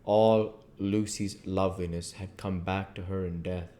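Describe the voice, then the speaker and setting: A man reading a novel aloud at a measured pace, with occasional slips and restarts. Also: sits low, fairly even intonation, mellow, slight background noise. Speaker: audiobook reader.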